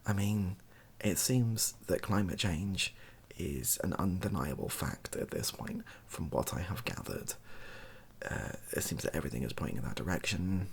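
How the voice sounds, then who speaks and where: A British man softly rambling through an improvised monologue, breathy and often near a whisper, with a wry, self-deprecating, hesitant manner. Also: sits low, lively intonation, normal speed, a little hiss or hum. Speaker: video host.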